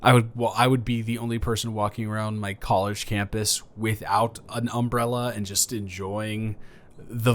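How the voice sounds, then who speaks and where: Rambling, low-voiced young man next door, acted by a man. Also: fairly bright tone, lightly acted. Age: young adult. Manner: rambling, halting, self-correcting, awkwardly casual. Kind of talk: improvised romantic roleplay audio, casual chat.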